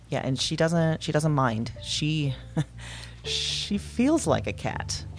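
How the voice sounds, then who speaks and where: A woman playing a haunted dreamer in an audio drama, haltingly recounting visions of past lives. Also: very deep voice, heavily acted. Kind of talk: confessional audio drama monologue, halting recollection.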